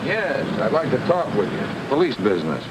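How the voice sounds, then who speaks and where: An actor playing a hard-boiled, sardonic private investigator in a noir radio drama, with dry slangy wisecracks. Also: male voice, mid-range voice, very animated, normal speed, heavy background noise, close to an everyday voice. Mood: probing.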